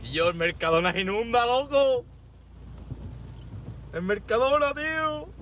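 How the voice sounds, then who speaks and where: A man's voice: very high-pitched, normal speed, a bit bright. A man reacting in casual slang as an eyewitness on an amateur video. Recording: slightly noisy amateur clip.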